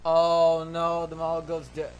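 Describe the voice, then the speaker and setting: A man with a slightly high-pitched voice hosts a reaction video. He comments loosely and informally, dropping in short exclamations, over faint background noise. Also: monotone, slow, highs cut off. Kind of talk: casual live reaction commentary.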